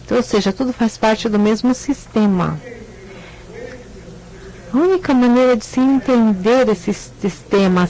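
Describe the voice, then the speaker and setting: An interpreter relaying an academic lecture, pausing and correcting the wording as the speech goes on. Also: medium pitch, darkish tone, low-quality recording. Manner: hesitant, self-correcting. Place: lecture hall.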